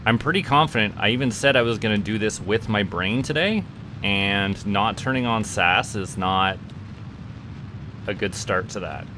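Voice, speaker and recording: A man's voice: lowish voice, moderate pace, background noise. A man from Canada streaming a game, rambling and chatting with viewers and tripping over repeated words. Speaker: VTuber streamer. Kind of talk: casual gaming livestream chat.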